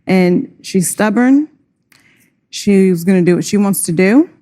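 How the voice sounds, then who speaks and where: A woman's voice: mid-range voice, lively intonation, measured pace, mellow. A woman as a talk show guest, speaking in a frustrated, emphatic way. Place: tv studio.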